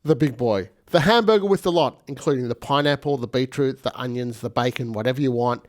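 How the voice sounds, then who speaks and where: A man walks through a piece of music gear in a relaxed, joking way. His voice sits at an ordinary middle pitch, and he sounds keen and easygoing. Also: fairly quick. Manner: casual, jokey and enthusiastic. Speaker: gear reviewer. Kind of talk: product review and demo.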